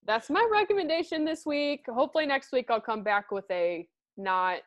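A woman's voice: high-pitched, expressive, normal speed, dry recording. A woman co-hosting a podcast, chatting casually and rambling with fillers and laughs. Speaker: podcast co-host. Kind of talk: casual chat.

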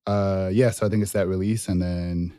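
A young man from Zimbabwe co-hosting a podcast, talking in a relaxed, rambling way and laughing easily. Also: deep voice, moderate pace, dry recording, clean studio sound. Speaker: podcast co-host. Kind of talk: casual chat.

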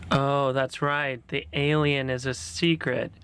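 A man's voice: medium pitch, level tone, takes it slowly, a bit bright, clean tone. A man hosting a podcast in casual chat, with jokey, playful asides. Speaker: podcast host.

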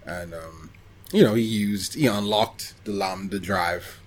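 Male podcast co-host, fairly low voice, loose conversational delivery with halting, searching pauses. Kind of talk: casual chat about anime.

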